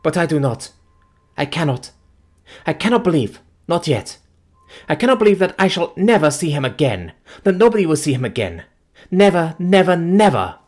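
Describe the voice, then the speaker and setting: A man's voice: medium pitch, lively intonation, fast, few pauses, breathy edge, voice pushed up. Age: young adult. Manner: pleading, breathless, trembling. Figure: a devoted woman in mourning, as a male narrator puts her on, in an audiobook.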